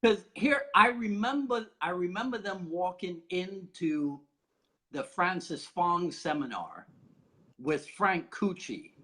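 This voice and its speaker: A man from Barbados with a notably high-pitched voice hosts a relaxed conversation. He talks in an animated, chatty way and laughs often as he tells his stories. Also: slow pace, low-quality recording. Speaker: host. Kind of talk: casual conversation.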